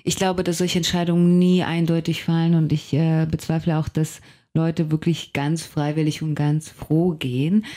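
Thoughtful writer with a mellow voice. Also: female voice, low voice, little pitch movement, moderate pace. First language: Russian. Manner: thoughtful, reflective, searching for words. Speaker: novelist and translator. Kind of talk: radio interview guest. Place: radio studio.

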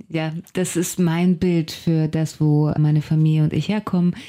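Thoughtful writer with a mellow voice. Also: female voice, deep voice, level tone, measured pace. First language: Russian. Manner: thoughtful, reflective, searching for words. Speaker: novelist and translator. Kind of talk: radio interview guest. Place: radio studio.

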